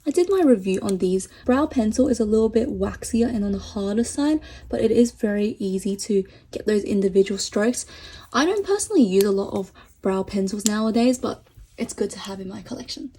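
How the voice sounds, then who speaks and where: A woman vlogger chatting casually through a makeup review, enthusiastic and full of fillers, her voice getting hoarse. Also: medium pitch, expressive, a little fast, mellow, slight background noise, poor audio. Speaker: beauty vlogger.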